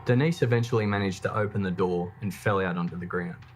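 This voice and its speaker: A man reading scripted true crime documentary narration in a measured, matter-of-fact way. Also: low-pitched, soft background music.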